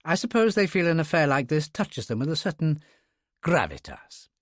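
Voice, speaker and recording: A man's voice: a little high, very animated, moderate pace, no echo, studio quality, voice pushed up. A man voicing a genteel, easily flustered male professor with old-fashioned, effusive manners in an audiobook story. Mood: chatty.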